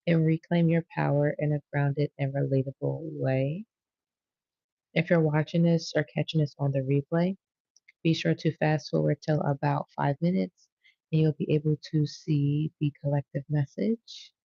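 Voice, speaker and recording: A woman's voice: deep voice, warm tone, no room sound, dull audio. A woman hosting a spiritual tarot livestream, chatting casually and slangily straight to her viewers. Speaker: tarot reader and livestream host.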